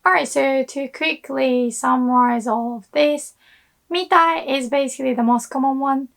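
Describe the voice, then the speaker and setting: Young woman language teacher, hoarse, high-voiced, relaxed and chatty. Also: normal speed. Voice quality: hoarse, gravelly. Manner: relaxed, chatty explaining with many fillers. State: hoarse from illness. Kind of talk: casual online language lesson.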